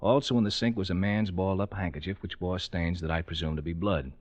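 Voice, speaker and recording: An actor playing a stolid detective giving a formal, slightly halting report, in 1940s radio style. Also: male voice, sits low, fairly even intonation, darkish tone. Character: stolid, formal male police detective testifying. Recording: muffled vintage radio recording.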